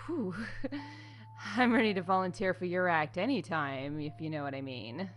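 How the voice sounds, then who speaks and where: Woman doing mock-official comedy announcements, really animated pitch, music playing behind her. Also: normal speed. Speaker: announcer of a fictional theme park news show.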